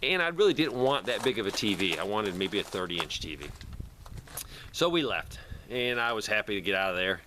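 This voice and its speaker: A man's voice: lowish voice, expressive, fairly quick, bright, forceful tone, slight background noise. A man talking casually to camera in a plainspoken, rambling way, like a video host showing his gear. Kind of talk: casual product talk to camera.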